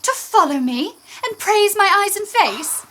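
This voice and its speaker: A woman acting an indignant young lady of classic stage drama. Her voice is very high and swings widely in pitch. She declaims every grievance theatrically, as in a Shakespearean radio play. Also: animated, unhurried, fairly bright tone, a little hiss or hum, full character voice. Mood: wounded, reproachful. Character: Helena, wounded indignant young woman, easily frightened lover.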